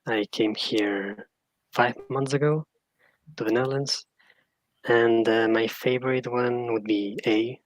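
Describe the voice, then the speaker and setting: A man's voice: mid-range voice, steady tone, slow pace, dry recording, low-quality recording. A man from Spain giving a brief, terse introduction on a video call. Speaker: discussion participant.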